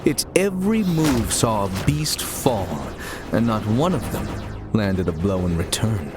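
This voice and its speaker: A man giving a dramatic, deliberate and suspenseful narration of a scripted audio story, with theatrical phrasing. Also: fairly low pitch, big pitch swings. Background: music.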